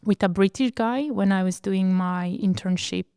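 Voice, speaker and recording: A woman's voice: mid-range voice, normal speed, darkish tone, clear, resonant tone, no room sound, clean studio sound. A young woman whose first language is Slovenian, speaking English as a guest winemaker, searching for words and hesitating often. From Italy. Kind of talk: interview conversation.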